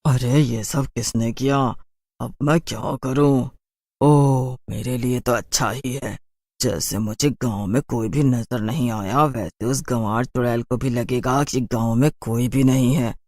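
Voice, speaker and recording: A man voicing a sly, boastful city witch in a cartoon horror story, in a slow drawl. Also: medium pitch, steady tone, darkish tone, dry recording, studio quality, voice pushed down. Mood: scheming, smug.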